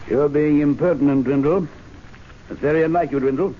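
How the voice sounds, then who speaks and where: An actor playing a stuffy, self-important gentlemen's club member in an old-time radio drama, speaking formally and pompously. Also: male voice, a little high, fairly even intonation, brisk, lightly acted. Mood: affronted. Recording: dull lo-fi radio recording with hiss.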